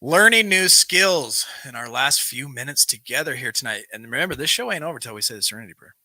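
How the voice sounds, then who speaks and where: Bright, forceful man hosting a recovery show. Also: medium pitch, animated, fast, no room sound. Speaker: host of a live recovery show. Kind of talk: reading aloud from a self-help text with casual asides.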